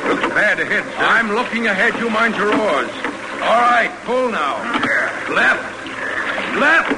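An urgent, very high-pitched oarsman. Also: lively intonation, a little slow, heavy background noise. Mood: urgent, straining. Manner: barking short commands and counts. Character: daring, urgent male oarsman in rapids. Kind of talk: old-time radio drama acting. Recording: echoey old radio recording, roaring water effects.

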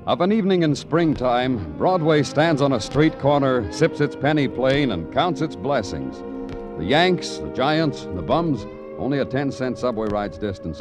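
An actor playing a weary, wry police detective who speaks in clipped, lyrical lines in an old-time radio drama. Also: male voice, medium pitch, lightly acted. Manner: brooding, lyrical, clipped delivery. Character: weary, wry, poetic male police detective narrating his case. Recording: vintage radio recording with music.

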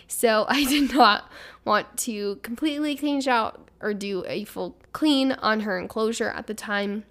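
A woman's voice: medium pitch, fairly bright tone. A woman hosting a casual podcast, chatty and upbeat, her voice a little stuffy as she gets over the flu. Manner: chatty and upbeat, rambling storyteller. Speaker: podcast host.

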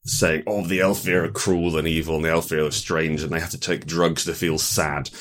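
A man with a low voice speaks casually as an interview guest. He rambles through his explanations as a game designer, with a wry, self-deprecating sense of humour. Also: level tone, moderate pace, a bit bright.

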